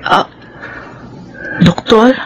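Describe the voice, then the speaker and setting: Expressive, earnest young wife. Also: female voice, very slow, slight background noise, low-quality recording. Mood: timid, relieved. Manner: expressive, melodramatic. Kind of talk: radio drama acting.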